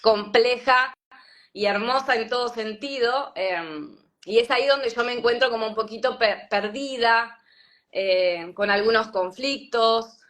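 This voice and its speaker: A woman in her thirties with an Argentine Spanish accent, from Patagonia, telling her story as a guest in an interview, thoughtful and pausing often with fillers. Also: on the high side, fairly bright tone. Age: 30s. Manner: thoughtful, many fillers and restarts. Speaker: yoga teacher, guest. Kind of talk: reflective interview talk.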